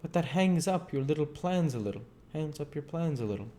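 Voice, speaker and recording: A man voicing a guarded, educated gentleman in a storybook, speaking in a measured, dry and probing way. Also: a little high, animated, pitched above the performer's own voice, natural voice. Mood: nervous.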